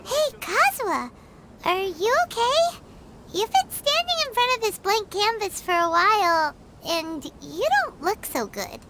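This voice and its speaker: A woman voicing a tiny, excitable floating female companion in a game, with a squeaky childlike voice who talks about herself in the third person. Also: unhurried, heavy background noise, full character voice. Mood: excited. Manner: refers to herself in third person, eager and nosy. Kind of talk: game cutscene dialogue.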